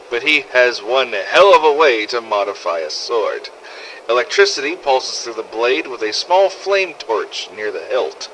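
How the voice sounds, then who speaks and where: A sardonic male survivor voiced by a man recounts missions in a first-person game audio log. His mid-pitched voice is bright and forceful, with lively, wide swings in intonation. Faint noise sits behind the scripted narration. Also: rough recording. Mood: satisfied. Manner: sardonic, exasperated, swearing. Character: weary, sarcastic male survivor of a zombie outbreak. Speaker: narrator of fan-made game audio logs.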